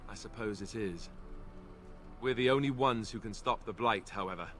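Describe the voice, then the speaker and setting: An actor playing an earnest young male warrior in a video game, speaking in a somber, quietly grieving way. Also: lowish voice, normal speed, faint music behind, natural voice. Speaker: game companion character.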